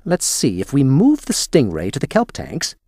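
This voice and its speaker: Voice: mid-range voice, wildly swooping pitch, normal speed, warm tone, breathy edge, no echo, clean studio sound, full character voice. An actor voicing a nerdy, easily exasperated aquarium biologist in a comic video game, with theatrical, exclamatory delivery. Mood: preoccupied, dry.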